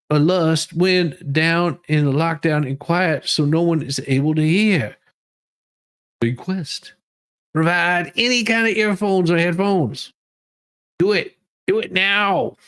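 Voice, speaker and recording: A man giving a mock-dramatic reading as a demanding woman inmate pleading her own case, with halting, emphatic delivery. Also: high voice, a bit bright, clean tone, voice pushed up.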